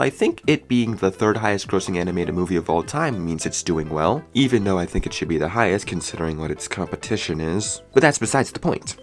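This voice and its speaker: A man giving a scripted movie review commentary in a casual, opinionated, conversational way. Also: sits low. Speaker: video reviewer.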